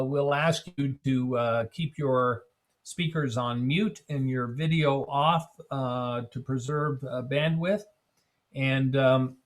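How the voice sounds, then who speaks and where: A man moderating a panel discussion on a video call, speaking in a measured way with frequent fillers. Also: mid-range voice.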